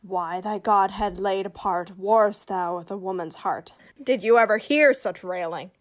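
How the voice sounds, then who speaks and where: A woman acting a witty young noblewoman disguised as a male youth in a Shakespearean radio play, with brisk, teasing, theatrical delivery. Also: mid-range voice, natural voice. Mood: mocking recital.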